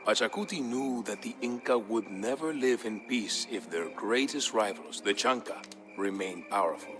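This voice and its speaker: An actor playing a male narrator who recounts an old legend in a measured, scripted storybook manner for a video game. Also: on the low side, animated, music in the background, lightly acted. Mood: solemn storytelling.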